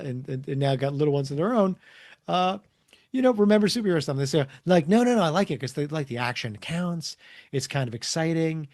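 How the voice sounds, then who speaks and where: A middle-aged man telling stories casually as an author guest in an interview, animated and prone to acting out dialogue. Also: medium pitch. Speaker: guest, comics and children's book author.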